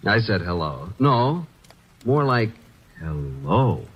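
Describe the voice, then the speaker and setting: A man's voice: fairly low pitch, very animated, takes it slowly, husky, highs cut off, heavily acted. An actor playing a wisecracking male detective's assistant in old-time radio acting, with wry, hard-boiled narration and quips.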